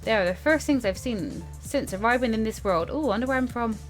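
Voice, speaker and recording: A woman's voice: on the high side, animated, fairly quick. Woman casually hosting a gaming playthrough. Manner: informal, stumbles over read text and comments on it. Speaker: gaming video host. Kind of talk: casual let's-play, reading game text aloud with chatty asides. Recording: music behind the voice.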